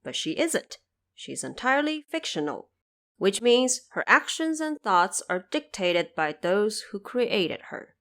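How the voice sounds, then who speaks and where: Woman, podcast host, very animated pitch, mid-range voice, scripted explainer delivery, emphatic and articulate. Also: measured pace.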